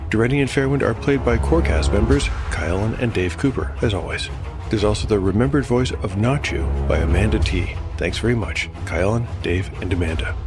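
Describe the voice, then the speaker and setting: A man with a low voice delivers scripted narration as the host of a fantasy audio drama. Music plays clearly behind him, along with chirping insects.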